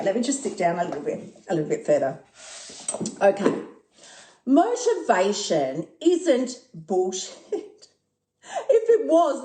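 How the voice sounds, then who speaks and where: Aussie lady in her 50s rambling excitedly on a live stream, lots of swearing and laughing, roomy echo. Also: medium pitch, slight background noise. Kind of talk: casual live-stream talk. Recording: echoey room.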